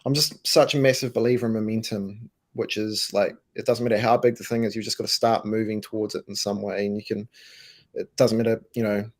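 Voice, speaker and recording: Man from New Zealand, low and croaky, emphatic business guest who stumbles and repeats. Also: level tone, brisk. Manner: emphatic, repeats words, restarts. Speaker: co-founder and co-CEO, guest. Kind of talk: casual interview answers.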